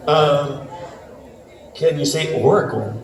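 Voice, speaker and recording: A man's voice: on the high side, takes it slowly. A man moderating a convention panel, chatty and laughing often as he reads out audience questions. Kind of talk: casual interview questions. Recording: roomy hall audio from a distance with noise.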